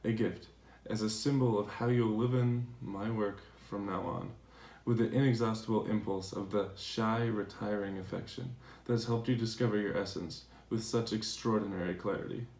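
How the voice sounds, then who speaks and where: A man playing a devoted philosopher reading his tender love letters aloud in a measured, earnest dramatization. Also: fairly low pitch, steady tone, darkish tone, close to an everyday voice. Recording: echoey room, distant mic, faint noise.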